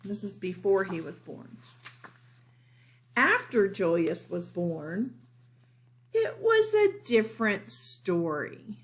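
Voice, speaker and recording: A woman teacher reads a picture book aloud to young children. Her pace is very unhurried, with emphatic words and an informal storytelling style, in a medium-pitched voice. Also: big pitch swings.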